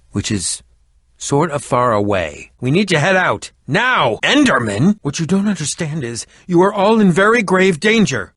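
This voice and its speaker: An actor playing an eccentric middle-aged schemer in a video game, with a dramatic, haughty and defensive manner. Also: male voice, high voice, fairly bright tone, no room sound, studio quality, full character voice. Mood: agitated, dramatic. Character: eccentric theatrical middle-aged male potion-brewing schemer. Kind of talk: video game character dialogue.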